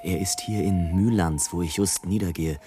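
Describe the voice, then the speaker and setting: An actor playing a mocking, indignant balloonist who declaims and mutters theatrical asides in a radio play. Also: male voice, deep voice, moderate pace, background noise, natural voice. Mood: mocking, hushed. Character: sardonic, indignant male balloonist watching people from above. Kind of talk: German radio play, literary monologue. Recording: music under the voice.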